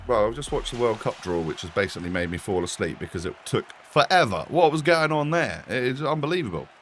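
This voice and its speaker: A man's voice: mid-range voice, lively intonation, quick pace, fairly bright tone, slight background noise. A British man with a slightly bunged-up nose, sounding tired as he gives chatty, casual gameplay commentary as a gaming host. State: slightly congested.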